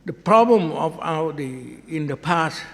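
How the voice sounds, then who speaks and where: A man with a Thai accent moderating a conference, speaking slowly and deliberately with halting repeats. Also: a little high, lively intonation, gravelly, fairly bright tone, big-room sound. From Thailand. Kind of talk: formal conference remarks. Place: large conference hall.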